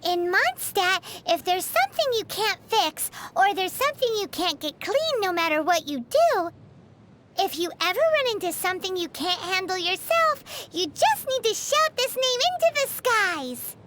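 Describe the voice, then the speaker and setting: Game fairy sidekick: tiny, cheeky, extremely high squeaky child voice, lively swoops, emphatic and earnest. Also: female voice, moderate pace, a little hiss or hum, full character voice. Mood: upbeat. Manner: speaks of self in third person, perky and emphatic. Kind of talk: video game voice acting.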